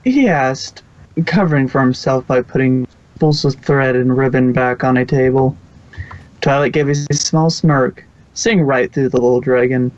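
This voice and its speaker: A male amateur narrator reads a scripted story at a medium pitch. The recording is dull and lo-fi, like a home setup. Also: unhurried, darkish tone.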